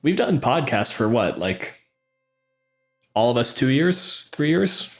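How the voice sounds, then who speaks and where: A man's voice: medium pitch, big pitch swings, slow pace, soft background music. A man chatting casually as a podcast co-host, wry and given to casual swearing. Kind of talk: casual podcast panel chat.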